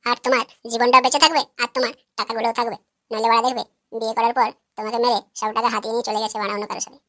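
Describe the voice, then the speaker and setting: A woman's voice: fairly high pitch, fairly even intonation, rapid-fire, bright, forceful tone, dry recording, studio quality. A woman playing a cheeky, sceptical young male heckler in a comic cartoon, taunting and crude. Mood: warning. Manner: taunting, foul-mouthed.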